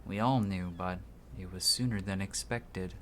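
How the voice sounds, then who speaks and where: A grieving, restless soul from a video game, read by a man in a low voice. He speaks earnestly and mournfully as a lost husband, with faint noise behind. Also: steady tone, measured pace.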